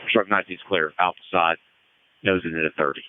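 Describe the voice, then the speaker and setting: A man's voice: low voice, fairly even intonation, fast, smooth. A male firefighter making terse calls over a two-way radio. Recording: phone-like emergency radio transmission.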